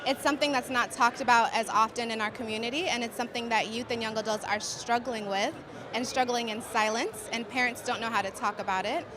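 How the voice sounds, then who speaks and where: A woman's voice: fairly high pitch, fairly even intonation, brisk. Composed woman over crowd chatter. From Uganda. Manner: composed, articulate. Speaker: conference panelist. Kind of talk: interview answers.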